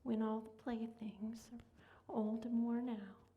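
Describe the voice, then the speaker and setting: A woman playing a kindly, gentle magic nursery fairy in a staged storybook reading, with a breathy voice. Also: a little high, fairly even intonation, slow pace, narrow, tinny audio. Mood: gentle, tender. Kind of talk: reader's theater storybook acting.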